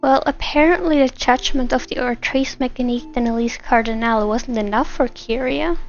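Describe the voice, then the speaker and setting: A woman's voice: fairly high pitch, normal speed, lo-fi sound. A woman streamer giving casual, musing, reactive live commentary over gameplay. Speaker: VTuber game streamer. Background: soft game music.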